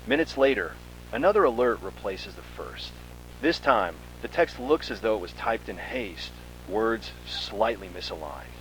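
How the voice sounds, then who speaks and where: A man with a fairly low voice narrates a horror tale in the second person. His delivery is theatrical and full of suspense, swinging widely in intonation. A faint noisy hum sits behind the voice. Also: expressive, a little slow, fairly bright tone. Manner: dramatic, suspenseful storytelling.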